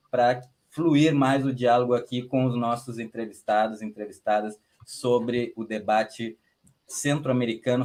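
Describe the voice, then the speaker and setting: A man's voice: medium pitch, darkish tone. A man hosting a radio interview, phrasing his introductions and questions in a wordy, searching way. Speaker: radio host.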